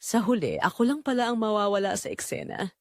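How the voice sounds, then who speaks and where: A woman's voice: mid-range voice, expressive, a little fast, no echo, studio quality, natural voice. A woman voicing a lonely, regretful migrant worker in a drama story, plaintive and confessional, with a Filipino accent.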